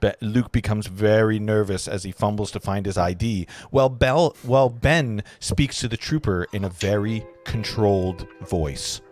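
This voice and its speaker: A man's voice: lowish voice, moderate pace, hardly any pauses, a little mellow, no room sound, faint music behind. A man reading screenplay scene directions aloud as the narrator of a table read.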